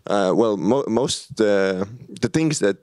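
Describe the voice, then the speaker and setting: A man's voice: fairly low pitch, animated, slow, darkish tone, clear, resonant tone, dry recording, clean studio sound. A young man, a master's student answering interview questions hesitantly with many restarts. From Estonia.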